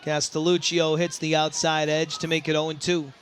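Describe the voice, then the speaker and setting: A man's voice: on the high side, completely flat delivery, moderate pace, a bit bright, slight background noise. A man doing live baseball play-by-play as the announcer, in short, emphatic phrases. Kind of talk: live sports play-by-play commentary.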